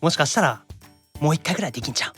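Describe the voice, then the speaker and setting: A man with a Kansai accent hosting a casual podcast, teasing his co-presenter and quick with comebacks. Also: a little high, expressive, a bit bright, husky, faint music behind. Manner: teasing, quick to quip back. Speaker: radio show host.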